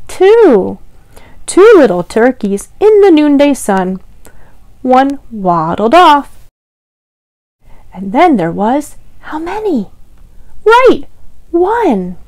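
A woman's voice: high-pitched, very animated, extremely slow, pauses often, darkish tone, no echo. A young woman leading a children's storytime, reading aloud in a simple sing-song way and asking the little listeners questions. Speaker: children's librarian, storytime host. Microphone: lavalier clipped to T-shirt.

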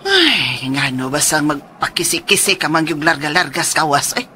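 A woman's voice: low voice, sing-song, normal speed, pushed and bright, a little hiss or hum, full character voice. An actress playing a disapproving, nagging older mother in a Cebuano radio drama.

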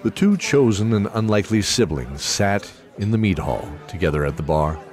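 A man's voice: fairly low pitch, very animated, normal speed. A man narrating a scripted fantasy story as the storyteller of an audio drama. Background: music.

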